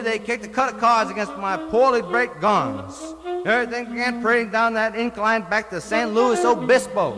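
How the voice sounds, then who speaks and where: A man reading beat prose aloud in a rhythmic way, even speaking the punctuation. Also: high-pitched. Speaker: writer reading his work. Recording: archive reading, long reverb, loud music. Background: music.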